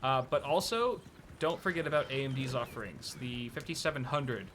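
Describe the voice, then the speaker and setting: A man's voice: mid-range voice, expressive, normal speed, a bit bright, slight background noise. A young American man hosting a casual live stream, chatting loosely with many filler words while giving advice. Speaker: streamer host.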